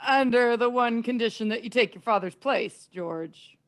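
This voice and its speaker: A woman as an earnest, higher-voiced board member. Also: normal speed, lightly acted. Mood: urgent. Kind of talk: amateur radio play acting. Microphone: video call.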